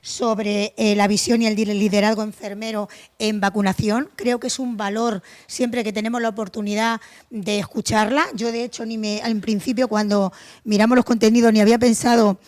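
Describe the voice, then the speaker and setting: Canarian woman moderator, rushed, friendly, stumbles a little while introducing. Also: medium pitch, flat delivery, darkish tone. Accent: Canarian Spanish. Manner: hurried and informal, with restarts. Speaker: conference moderator. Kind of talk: introducing speakers. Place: conference hall.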